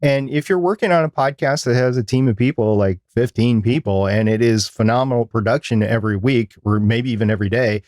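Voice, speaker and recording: A man's voice: mid-range voice, fairly even intonation, a little fast, hardly any pauses, darkish tone, dry recording. American man co-hosting a podcast, dry close sound. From United States. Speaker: podcast co-host. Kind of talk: casual podcast discussion.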